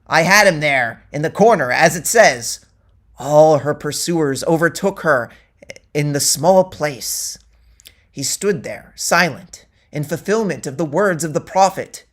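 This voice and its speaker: Slow, theatrical man reading aloud. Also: a little high, lively intonation, fairly bright tone. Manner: theatrical, deliberate, declaiming quoted verses. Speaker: reader. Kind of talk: dramatic reading of a satirical tract.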